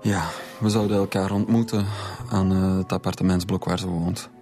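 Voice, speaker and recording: A defensive young Flemish man explains himself haltingly in a radio drama. His voice is very low and gravelly, with faint music underneath. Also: little pitch movement, dark tone. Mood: explaining uneasily. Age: young adult. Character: defensive, troubled student son under police questioning. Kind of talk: naturalistic radio drama dialogue.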